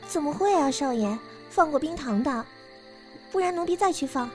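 A devoted young maidservant, played by an actress in a period audio drama. She is meek and deferential, coaxing in a very high voice with faint music underneath. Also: expressive, moderate pace. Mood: anxious. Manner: deferential, pleading. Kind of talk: Chinese period radio drama acting.

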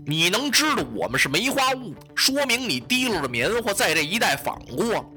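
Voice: medium pitch, sing-song, normal speed, sharp tone, soft background music. A storyteller voicing a blustering sword-toting thug. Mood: menacing. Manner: blustering, threatening. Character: gruff male gang enforcer with broadsword.

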